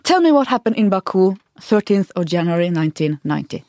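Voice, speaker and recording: Woman interviewer, direct questions, mid pitch, dry sound. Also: big pitch swings, quick pace, darkish tone, no echo.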